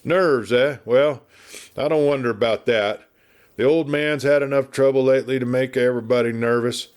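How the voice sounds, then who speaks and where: A man's voice: mid-range voice, fairly even intonation, moderate pace, a little mellow, smooth, close to an everyday voice. A man voicing a garrulous, good-natured but irascible frontier marshal in a storybook, with an American Western drawl and folksy, blustery chatter. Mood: jovial, chatty. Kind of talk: storybook character voice.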